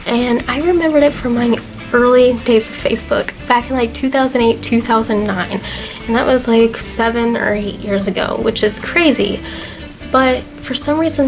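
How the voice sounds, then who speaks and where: A young woman in her twenties with a fairly high voice that is a little hoarse, as if she is losing it. She talks to camera in a chatty, earnest manner with lots of "um" and "like". Music plays clearly behind her. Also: poor audio. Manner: chatty and earnest, with frequent fillers. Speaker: vlogger. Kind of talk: casual talk to camera.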